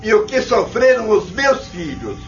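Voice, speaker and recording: Slow, high-voiced vengeful spirit. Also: male voice, sing-song, soft background music. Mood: bitter, vengeful. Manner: slow, anguished, defiant delivery. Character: vengeful, suffering male spirit of a wronged father. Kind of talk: audio drama acting. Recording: dull lo-fi audio drama recording, roomy echo.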